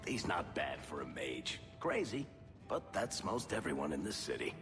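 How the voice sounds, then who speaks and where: An actor playing a roguish, world-weary tavern storyteller in a video game, glib, wry and familiar. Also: male voice, rumbling low, big pitch swings, moderate pace. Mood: wry, teasing. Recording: long hall reverb, music behind.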